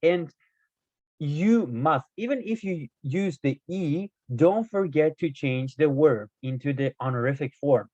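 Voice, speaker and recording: A man whose first language is not Korean, explaining Korean grammar in a casual video lesson, hesitant with many fillers and self-corrections, his voice a little hoarse. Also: a little high, lively intonation, unhurried, darkish tone, no echo. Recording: dull, dry lo-fi recording.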